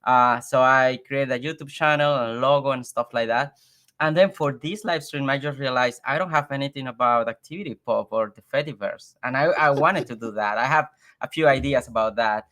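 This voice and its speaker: Mexican guy with accent, spanish first language, casual interview guest, kinda halting, medium pitch. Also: fairly bright tone. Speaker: guest, software engineer.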